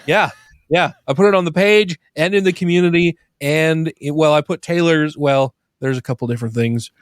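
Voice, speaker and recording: A man hosting a casual podcast, hoarse and stuffy from laughing, rambling with many restarts and giggles. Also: fairly high pitch, normal speed, no echo, studio quality. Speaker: podcast host.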